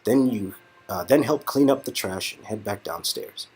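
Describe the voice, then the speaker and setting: A man's voice: on the low side, expressive, moderate pace, a little mellow, husky. A man narrating a story game as co-host, mixing scripted narration with casual banter. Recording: music in background.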